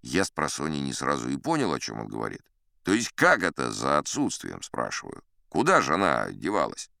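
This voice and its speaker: An actor playing a chatty, boastful old sea captain, spinning tall tales in a theatrical audiobook manner. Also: male voice, rumbling low, huge pitch swings, fairly quick, gravelly, bright, forceful tone, no room sound, studio quality, full character voice. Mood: wry recounting. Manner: theatrical, leisurely, old-fashioned conversational raconteur. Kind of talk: dramatized audiobook storytelling.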